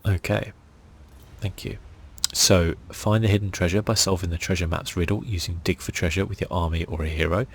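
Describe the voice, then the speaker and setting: A man giving soft-spoken, musing ASMR gameplay commentary in a husky, breathy voice that sometimes nears a whisper. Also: deep voice, fairly even intonation, brisk. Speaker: gaming video host.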